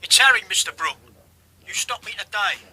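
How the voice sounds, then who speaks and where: An actor playing a wary, furtive informant through a telephone filter in a radio drama. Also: male voice, high-pitched, lively intonation, normal speed, bright, forceful tone, full character voice. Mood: guarded. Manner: guarded, furtive. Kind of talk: British radio drama acting. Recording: noisy telephone-style line.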